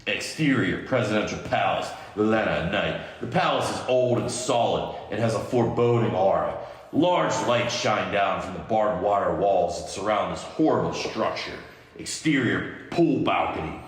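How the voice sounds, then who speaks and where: A man's voice: lowish voice, animated, moderate pace. A man narrating scripted stage directions as a podcast host in an amateur table read. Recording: very echoey room, long reverb.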